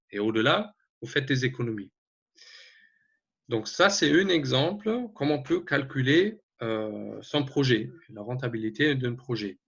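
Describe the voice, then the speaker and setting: Guy w/ german accent doing a webinar explainer, raspy creaky voice, keeps hesitating, muffled lo-fi audio. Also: mid-range voice, fairly bright tone. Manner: halting, many hesitations and self-corrections. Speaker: energy advisor presenting a webinar. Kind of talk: explanatory slide presentation, semi-spontaneous. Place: remote online webinar.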